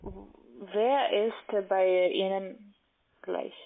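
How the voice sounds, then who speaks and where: A woman's voice: mid-range voice, fairly even intonation, gravelly. Russian-accented woman on a tinny phone line. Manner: halting, non-native German phrasing. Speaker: call-centre broker, phone scammer. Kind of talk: unscripted phone argument. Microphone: phone call.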